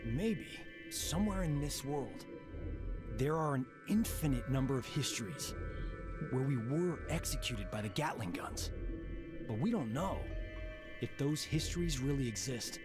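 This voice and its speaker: An actor voicing a level-headed, knowledgeable man in a video game, measured and matter-of-fact. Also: medium pitch, lively intonation, close to an everyday voice. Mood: thoughtful, measured. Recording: music under the voice.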